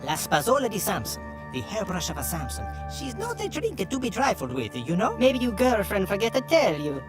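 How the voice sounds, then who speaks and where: A man's voice: high voice, big pitch swings, fast talker, over music. A man voicing a quarrelsome male vegetable barber with a hammy mock Italian accent, in a cartoon.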